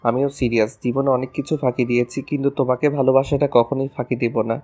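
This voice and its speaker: A man's voice: mid-range voice, flat delivery, fast, dark tone, soft background music, close to an everyday voice. A man playing a playful, affectionate young husband in love in a romantic audio story. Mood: earnest, affectionate. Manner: teasing, affectionate.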